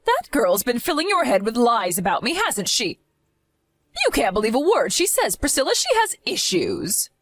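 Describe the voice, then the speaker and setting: A woman's voice: a little high, wildly swooping pitch, moderate pace, fairly bright tone, dry recording, voice pushed up, full character voice. A woman voicing a scheming middle-aged mother-in-law who talks in a syrupy, theatrical, falsely sweet way. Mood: defensive.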